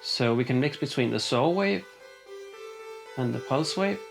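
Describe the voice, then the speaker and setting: Guy with a gravelly voice explaining his synth patch, lots of ums, faint synth music underneath. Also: mid-range voice, unhurried. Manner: relaxed, explanatory, with many fillers and restarts. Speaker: synth demonstrator. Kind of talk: hands-on synth demo. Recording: synth music playing behind.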